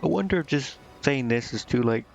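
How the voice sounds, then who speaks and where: A man streams a game in a casual, rambling way, with a mid-pitched voice. He talks half to himself, hesitating as he goes. The microphone sounds a little dull and muffled. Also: expressive, a little fast, creaky, a little mellow, soft background music. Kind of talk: casual gameplay commentary, reading game dialogue aloud.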